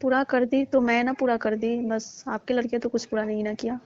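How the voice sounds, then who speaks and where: Woman acting as young newlywed daughter-in-law, fairly high voice, aggrieved, insistent, complaining, phone drama, muffled lo-fi audio. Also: fairly even intonation, brisk, no room sound, natural voice. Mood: grudging. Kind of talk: staged phone-call drama in colloquial speech. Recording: dull, lo-fi dry call audio.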